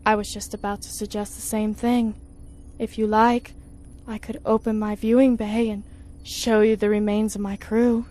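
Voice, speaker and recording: A woman's voice: mid-range voice, little pitch movement, normal speed, dark tone, close to an everyday voice. An actress playing a polite, coy and murderous female ship's artificial intelligence in a 1950s-style space radio serial. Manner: courteous, coy.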